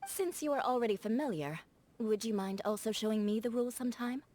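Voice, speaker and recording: A woman's voice: a little high, fast talker, a little mellow, natural voice. A woman voicing a sociable, courteous adult character in a video game. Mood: interested.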